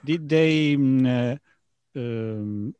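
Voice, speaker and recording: A man taking part in a casual class chat, speaking hesitantly and haltingly. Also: medium pitch, dark tone, smooth, lo-fi sound. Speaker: class participant.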